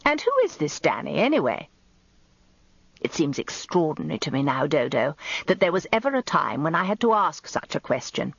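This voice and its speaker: Withering older British wife, lively delivery. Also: female voice, deep voice, normal speed, vocal fry, husky, dry recording, muffled recording, full character voice. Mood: scornful. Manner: dry, withering, imperious. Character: Hilda, sharp-tongued, merciless older wife of a barrister. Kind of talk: radio drama first-person narration and dialogue.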